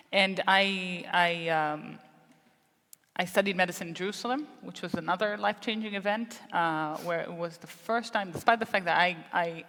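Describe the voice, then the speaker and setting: A woman from the Negev in Israel, a physician speaking as a guest onstage, telling her story in a measured way with many fillers. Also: on the low side, fairly bright tone, in a large hall. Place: large hall, live event.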